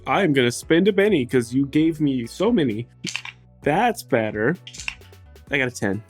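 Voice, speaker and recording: Woman, very low pitch, lively intonation, hesitant casual role-play, tabletop game player. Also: moderate pace, faint music behind. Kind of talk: casual in-character improvised chat.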